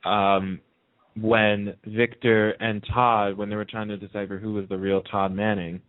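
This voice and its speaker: A man with a fairly low voice chats casually as a radio guest. He comes through a narrow, muffled telephone line with a dry sound. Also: little pitch movement, a little slow, no room sound. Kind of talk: casual chat.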